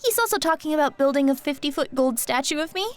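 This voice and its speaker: A woman's voice: high-pitched, lively intonation, fast. A woman playing a poised, crafty young undercover singer in an audio drama, with a composed manner. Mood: matter-of-fact, scheming.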